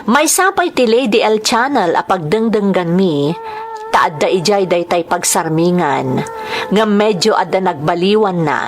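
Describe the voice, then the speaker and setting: A woman with an Ilocano accent hosting a drama program, reading greetings and letters in a measured announcer style. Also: fairly low pitch, slight background noise.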